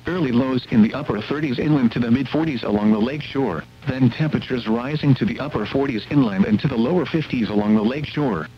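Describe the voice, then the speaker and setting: A man reading a scripted weather forecast as a radio announcer, in a formal, measured delivery. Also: mid-range voice. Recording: muffled weather radio broadcast, hum and static.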